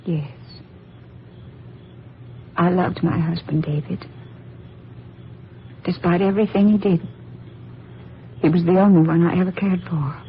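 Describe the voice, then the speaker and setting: A woman's voice: fairly low pitch, steady tone, unhurried, pauses often. A woman playing a grieving, devoted widow with melodramatic delivery in an old radio drama. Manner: melodramatic, hushed, mournful. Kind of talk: vintage radio drama acting. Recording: muffled, noisy old radio recording.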